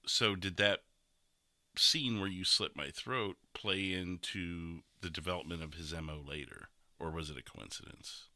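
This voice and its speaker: A man hosting a casual podcast chat, talking in an animated, excitable way. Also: deep voice, a little slow, no room sound. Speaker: podcast host and roleplay streamer.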